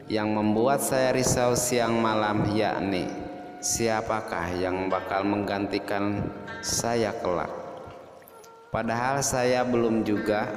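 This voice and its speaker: A man voicing a dignified, yearning unmarried queen in a storytelling drama, with formal, measured, courtly speech. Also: fairly low pitch. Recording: echoey long reverb, music behind.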